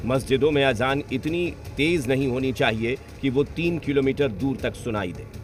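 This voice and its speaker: A man's voice: mid-range voice, normal speed, soft background music. A man with an Indian accent reading a scripted television news report as a reporter.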